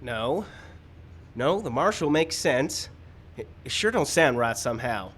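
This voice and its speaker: Man playing a husky, argumentative frontier townsman. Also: medium pitch, big pitch swings, measured pace, fairly bright tone, full character voice. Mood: doubtful. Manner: insistent, argumentative. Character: anxious, defiant frontier townsman challenging authority. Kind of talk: old-time radio western acting.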